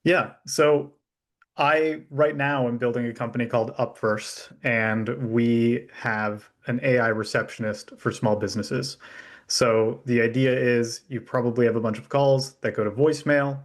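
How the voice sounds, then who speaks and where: A man's voice: medium pitch, steady tone, normal speed. A younger American man from Florida, talking casually as an interview guest and startup founder. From Florida, USA.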